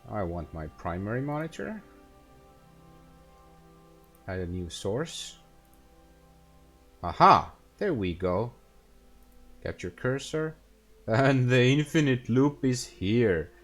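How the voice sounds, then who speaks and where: A young man with an Estonian accent, casually talking through a game stream in a halting way, full of fillers and restarts. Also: on the low side. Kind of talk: casual gameplay stream commentary.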